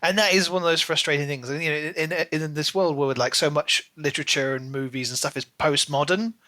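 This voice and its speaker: Bright-voiced, emphatic man chatting. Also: on the high side, steady tone, brisk, no room sound, clean studio sound. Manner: emphatic, ranting, mock-exasperated. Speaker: podcast co-host reviewing books. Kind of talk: casual opinionated book chat.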